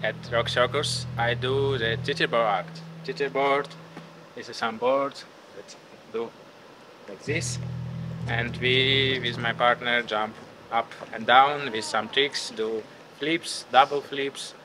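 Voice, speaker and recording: A man's voice: medium pitch, fairly even intonation, takes it slowly, background noise. A young man with a Ukrainian accent, an acrobat introducing himself to camera. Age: young adult. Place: theatre stage. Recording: distant mic under loud music.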